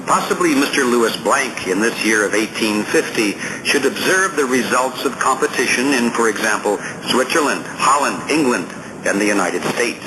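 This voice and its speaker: A man narrates an audiobook over clearly audible background noise. His delivery is formal and oratorical, with deliberate emphasis on the written phrases. His voice sits at a medium pitch. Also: lively intonation. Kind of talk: scripted narration, reading a political essay.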